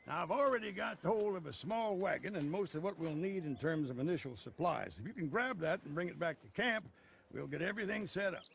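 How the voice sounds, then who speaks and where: A man playing a grizzled old American frontier trader in a video game, folksy and rambling like a salesman, with a gravelly voice. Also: high-pitched, animated, brisk, a little hiss or hum. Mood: pleased. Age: older. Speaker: game character actor. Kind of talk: video game cutscene acting.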